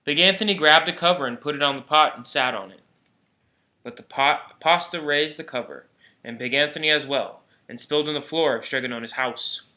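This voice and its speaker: A man's voice: fairly high pitch, brisk, sharp tone. A man reading a children's storybook aloud as a narrator. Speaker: volunteer storybook reader.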